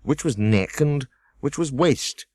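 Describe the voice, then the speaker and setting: Storybook girl: polite, gentle and slightly anxious, played by a man with a somewhat raised, very dry voice. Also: mid-range voice, animated, slow, no echo, pitched above the performer's own voice. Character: polite, earnest young girl.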